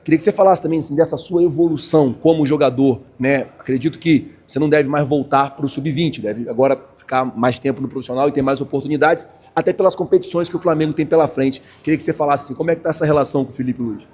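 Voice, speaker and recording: Fast, husky man reporter. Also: fairly high pitch, darkish tone. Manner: long, wordy questions. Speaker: sports reporter. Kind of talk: post-match interview questions.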